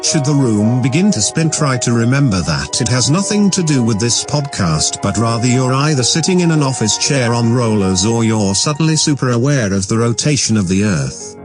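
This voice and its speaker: A man's voice: mid-range voice, lively intonation, normal speed, few pauses. A man reading a scripted, mock-dramatic science fiction introduction as a podcast narrator. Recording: loud music under the voice. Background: music.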